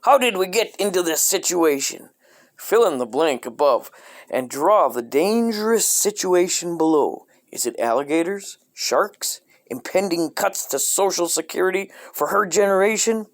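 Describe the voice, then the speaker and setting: Deadpan man reading aloud, lively pitch swings. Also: normal speed. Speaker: storyteller reading a parody children's coloring book. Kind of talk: scripted comedic read-aloud.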